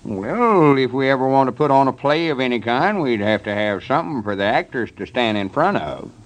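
An actor playing a stubborn, scheming old rural storekeeper with a folksy Southern American drawl in a 1930s radio comedy. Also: male voice, mid-range voice, animated, a little fast, lightly acted. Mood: scheming, confident. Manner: folksy, drawling country talk. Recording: 1930s radio broadcast recording.

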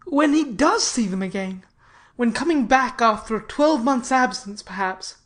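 A woman voicing an earnest, warm-hearted male sea captain in an audiobook. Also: on the high side, animated, measured pace. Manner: earnest, emphatic pleading. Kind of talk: audiobook character reading.

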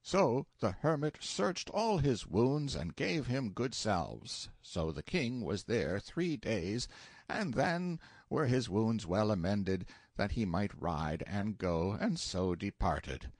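A man voicing an ancient wizard who tells a solemn legend in a slow, droning, archaic storytelling manner. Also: fairly low pitch, big pitch swings, no echo, clean studio sound, exaggerated voice. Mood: droning solemnity.

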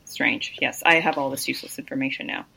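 A woman's voice: very deep voice, fairly even intonation, quick pace, creaky, bright, forceful tone, slightly rough. A young woman from Kenya whose first language is Luo, chatting playfully as a podcast guest with teasing asides. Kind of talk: casual chat.

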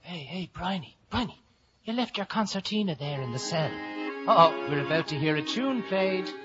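A man acting a simple, soft-hearted Irish jail constable in a 1950s radio play. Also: sits high, animated, moderate pace. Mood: folksy. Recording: dull 1950s radio recording with music.

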